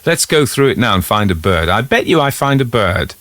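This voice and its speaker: A man's voice: lowish voice, sing-song, brisk, a bit bright, dry recording, pitched above the performer's own voice. A man voicing a curious, brave male visitor in a ghost story, polite and earnest with some hesitancy. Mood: bold.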